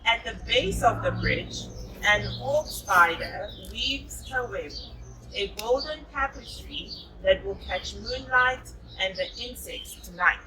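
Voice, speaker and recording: A South African woman with a fairly high voice reads a storybook to children at a slow pace. She pauses to explain and to confirm their answers in an encouraging way. The microphone sits at some distance from her. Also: animated, pushed and bright, a little hiss or hum. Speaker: storyteller reading to young children. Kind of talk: read-aloud of a picture book with explanations to children.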